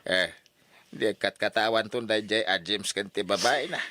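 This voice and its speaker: A man's voice: on the low side, normal speed, pushed and bright. An actor playing a stern, concerned older father in an old radio drama, admonishing emphatically. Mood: urgent, scolding. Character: stern, concerned elderly father advising his daughter.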